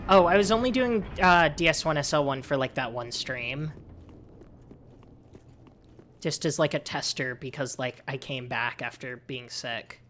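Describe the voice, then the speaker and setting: A woman with a low, androgynous and slightly raspy voice, chatting casually with viewers while she plays and talks game lore. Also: a little slow, a bit bright, slight background noise. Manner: soothing, chatty, thanks viewers often. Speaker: livestreamer and lore host. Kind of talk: casual livestream chat while gaming.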